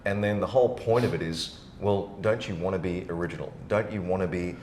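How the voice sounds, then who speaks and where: A middle-aged man with a deep voice hosts a casual podcast conversation. The room is noticeably echoey, with a faint low rumble behind him. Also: a little fast, darkish tone. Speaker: podcast host.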